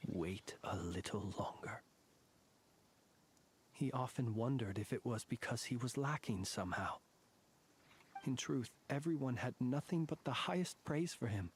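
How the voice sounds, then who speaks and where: Male, composed, courtly game soldier with quiet menace, formal measured delivery, mid pitch, soft music underneath. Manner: formal, measured, deliberate phrasing. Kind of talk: scripted video game voice acting.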